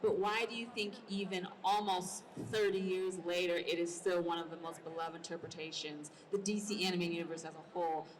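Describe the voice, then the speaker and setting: A woman with a slightly low voice moderates a live panel, setting up questions for the guests. She sounds away from the microphone, with faint noise behind her. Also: steady tone, a little fast, few pauses. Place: convention panel before an audience. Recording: distant, noisy convention panel sound.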